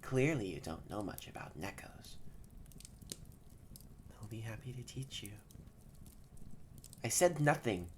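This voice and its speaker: A bratty tsundere catboy played by a woman in a roleplay audio drama, pitched very low and swinging up and down in lively curves. He talks at an unhurried pace, bossy and easily flustered with a soft heart underneath, over a noisy background. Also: full character voice. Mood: haughty, curious. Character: haughty, tsundere young male catboy, secretly soft-hearted. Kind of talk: scripted roleplay audio drama, addressed to the listener.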